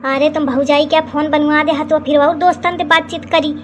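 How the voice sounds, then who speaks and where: A woman plays a cheeky young village lad from a comedy cartoon. The voice is very high, with an Awadhi accent and a wry, complaining way of talking. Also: fairly even intonation, brisk, background noise, lightly acted. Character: cheeky unmarried young village son, mother's confidant.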